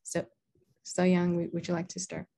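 A woman's voice: on the low side, monotone, normal speed, vocal fry, dark tone. A woman moderating a panel discussion over a video call, speaking hesitantly with fillers. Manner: hesitant, with many fillers and restarts.

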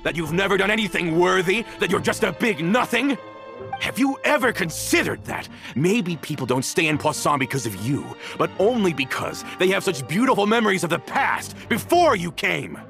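A bitter, vengeful game villain with a gravelly voice. He swings dramatically between brooding and ranting accusation, pitch leaping around, over loud music. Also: big pitch swings, moderate pace, full character voice. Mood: furious accusing. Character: bitter, vengeful villain, a former member of a crime family. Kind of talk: video game voice acting.

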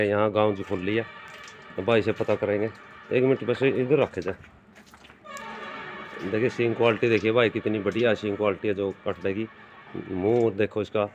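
Haryanvi man, dull lo-fi audio. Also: lowish voice. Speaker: livestock video presenter and interviewer. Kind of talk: informal on-location commentary. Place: open farmyard with brick walls. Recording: dull phone audio with faint noise.

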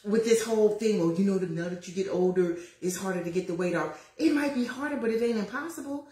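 A woman's voice: mid-range voice, fast. Chatty woman in her fifties, roomy kitchen. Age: 50s. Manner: lively, preachy and chatty, addresses viewers as y'all. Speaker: lifestyle vlogger. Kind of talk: casual livestream chat while cooking. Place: home kitchen, indoors. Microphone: phone camera at arm's length.

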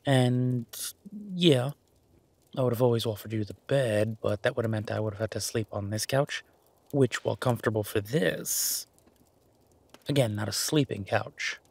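A man playing a shy, gentlemanly boyfriend in an intimate romantic audio roleplay, speaking softly and haltingly with gentle teasing. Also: mid-range voice, creaky. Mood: bashful, affectionate. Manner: hesitant, soft-spoken, gently teasing.

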